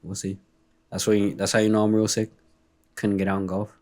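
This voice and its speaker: A man co-hosting a casual sports podcast, laid-back and joking with quick quips, his voice a little hoarse from a recent illness. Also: fairly low pitch, level tone, a little mellow. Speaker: podcast co-host. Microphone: remote call recording.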